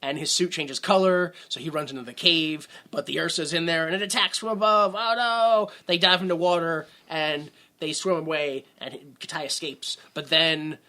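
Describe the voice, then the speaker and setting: A man co-hosting a movie podcast, recapping the plot in casual chat, animated, laughing often and acting out characters in quick asides. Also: on the high side. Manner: animated, swings between quiet mutters and loud outbursts. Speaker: podcast co-host.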